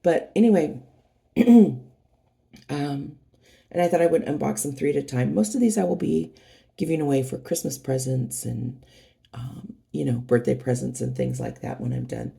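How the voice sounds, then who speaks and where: Hoarse, chatty woman with a gravelly low voice. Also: animated, normal speed, mellow. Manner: rambling, chatty, many fillers and restarts. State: hoarse from a cold. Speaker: craft channel host. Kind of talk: casual unboxing vlog.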